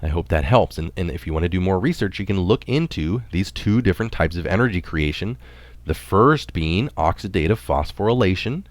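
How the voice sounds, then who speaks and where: A man with a low voice reads a health article aloud, recorded close and dry. His delivery is measured and explanatory, with plenty of movement in the pitch. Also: lively intonation, no echo. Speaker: article reader adding his own notes.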